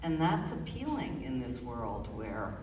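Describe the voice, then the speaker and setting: Slow thoughtful woman author on a live stage, echoey hall, kinda far from the mic. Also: a bass voice, very animated, noisy background. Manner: thoughtful, measured, with many pauses. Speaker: author guest. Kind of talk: live radio interview. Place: stage before a live audience. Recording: roomy hall, far from the mic, audible ambience.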